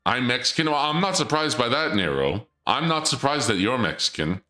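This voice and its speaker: Joking, rambling man with a bright voice. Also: mid-range voice, very animated, fairly quick. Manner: rambling, joking, theatrical dark-lord persona. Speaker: streamer. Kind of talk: casual stream chat.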